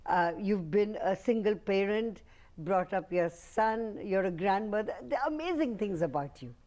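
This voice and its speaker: Mellow woman hosting an interview. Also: medium pitch, animated, moderate pace. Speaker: talk show host.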